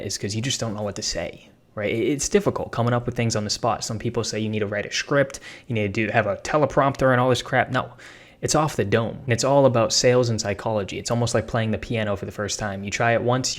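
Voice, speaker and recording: A young man giving a casual, hyped, slang-heavy tutorial on making money online, talking off the cuff to his viewers. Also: lowish voice. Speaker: online business creator.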